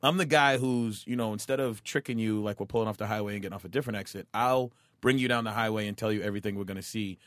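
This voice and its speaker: A stand-up comedian talking casually as a guest, animated and acting out other people's voices. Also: male voice, on the low side, very fast, few pauses, dry recording, studio quality. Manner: animated, acts out voices, fillers and restarts. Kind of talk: casual chat.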